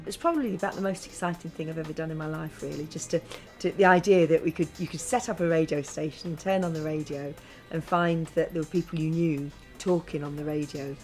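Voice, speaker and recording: A woman's voice: sits low, steady tone, a little fast, darkish tone, faint music behind. A middle-aged woman giving interview testimony as a radio station director. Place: small office, indoors.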